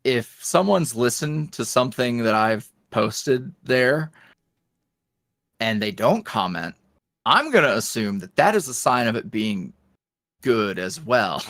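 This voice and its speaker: Lively, rambling young-sounding man. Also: mid-range voice, takes it slowly, no echo, studio quality. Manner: rambling, lots of fillers and restarts. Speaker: guest, ASMR roleplay creator. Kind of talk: casual interview chat.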